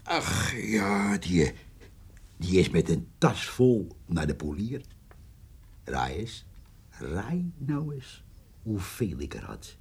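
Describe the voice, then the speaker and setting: An actor playing a sly, jovial country poacher with a husky voice and a folksy, drawling way of talking in a radio play. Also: male voice, low-pitched, very animated, slow, pauses often, exaggerated voice. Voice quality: gravelly, husky. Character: sly, jovial old male poacher, gossipy country rascal. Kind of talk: radio drama acting.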